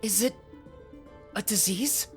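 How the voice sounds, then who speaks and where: A woman voicing an earnest, excitable young male police detective in an anime dub, naive and eager, with exclamatory delivery. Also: mid-range voice, takes it slowly, soft background music, lightly acted. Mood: puzzled, cautious.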